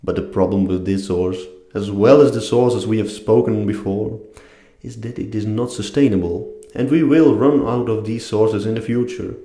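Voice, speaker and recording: A man's voice: lowish voice, moderate pace, mellow. A man with a non-native English accent giving a scripted educational narration. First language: not English. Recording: echoey room.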